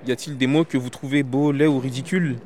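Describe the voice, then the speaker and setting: A man with a mid-pitched voice, like someone stopped for a street survey, casual and feeling his way to each answer. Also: steady tone, fast, darkish tone. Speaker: interviewee. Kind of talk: casual answers to read-out questions.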